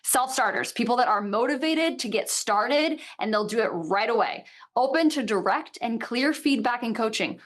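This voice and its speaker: A woman co-hosting a live online workshop, pitching a coaching program in an upbeat, direct way. Her voice sits fairly high, and she drives each point home with emphasis. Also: lively intonation, moderate pace, few pauses. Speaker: workshop co-host. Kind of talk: live webinar sales pitch.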